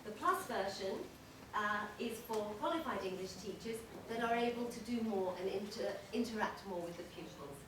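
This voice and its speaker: A woman giving a measured conference presentation, pausing often with small hesitations. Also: mid-range voice. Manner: measured, steady delivery with frequent hesitations. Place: large conference hall. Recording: echoey, noisy hall from far off.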